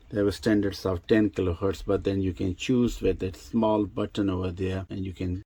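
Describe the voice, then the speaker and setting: Gravelly low-voiced man demonstrating gear. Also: mellow, dry recording. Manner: halting, thinking aloud. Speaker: radio review presenter. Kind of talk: casual hands-on product demonstration. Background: shortwave radio sounds.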